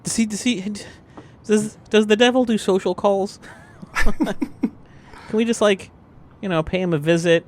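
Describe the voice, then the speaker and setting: A man's voice: high voice, measured pace, pauses often. A man chatting casually and jokily as a tabletop roleplaying player, in a rambling, hesitant way. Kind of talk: casual improvised game chat.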